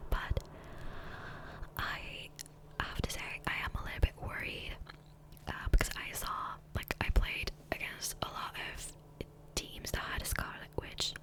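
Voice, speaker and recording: A woman whispering softly and breathily in a casual, rambling ASMR gameplay chat, close to the microphone. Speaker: ASMR video host. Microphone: close USB condenser microphone.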